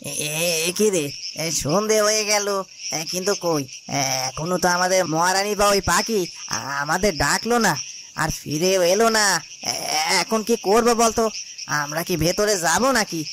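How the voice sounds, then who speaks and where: High-pitched, stammering cowardly guard played by a man. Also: normal speed, lightly acted. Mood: worried. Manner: hesitant, stammering. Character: cowardly, scheming royal guard, anxious and nagging. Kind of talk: Bengali fairy-tale cartoon dubbing. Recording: noisy, cricket and insect sounds behind.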